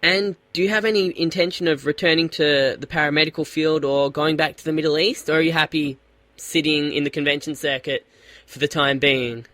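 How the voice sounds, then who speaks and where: An Australian man hosts an interview, eagerly firing off questions and often stumbling over his words. His voice is higher and bright, and it sounds close and dry. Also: fairly even intonation, brisk, no echo. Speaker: radio host.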